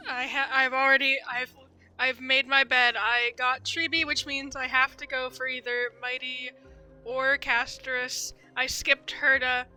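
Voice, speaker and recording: Female streamer, crisp bright high voice, casual commentary, thinking aloud, faint game music. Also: flat delivery, measured pace.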